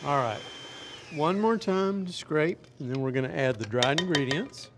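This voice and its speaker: Middle-aged male chef, slightly high voice, easygoing demo patter, lapel mic, faint dish clatter. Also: moderate pace, background noise. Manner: relaxed, chatty step-by-step explaining. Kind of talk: cooking demonstration. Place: restaurant demo kitchen, indoors.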